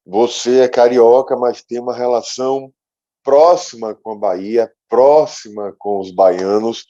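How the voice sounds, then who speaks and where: Muffled, mellow Brazilian male interviewer. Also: medium pitch, fairly even intonation, measured pace. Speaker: interviewer, host. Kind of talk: conversational interview. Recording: muffled, dull lo-fi audio.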